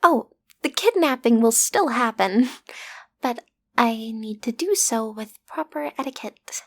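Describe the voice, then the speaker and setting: A woman's voice: fairly high pitch, expressive, unhurried. A woman playing a cute, easily flustered teenage villain's daughter in an audio roleplay, stammering earnestly as she tries to seem intimidating. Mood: awkward, earnest.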